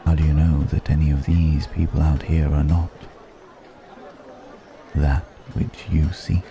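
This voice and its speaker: Casting: male actor for ancient dragon in disguise, ASMR drama. Extremely low, dark velvety voice, wry, curious, archaic turns of phrase, music and crowd behind. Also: level tone, vocal fry, slight background noise, heavily acted. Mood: teasing, amused. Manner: musing, archaic formal phrasing, unhurried hums. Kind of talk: ASMR roleplay audio drama.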